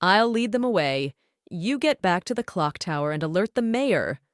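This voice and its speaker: A woman's voice: lowish voice, lively intonation, moderate pace, no echo, studio quality, close to an everyday voice. A woman voicing an earnest, brave male detective car in a children's storybook. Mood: urgent.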